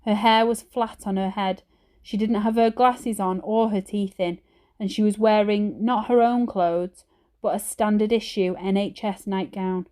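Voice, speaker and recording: A woman's voice: mid-range voice, fairly even intonation, unhurried, dark tone, clear, resonant tone. Woman reading a children's story aloud. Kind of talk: expressive storybook narration.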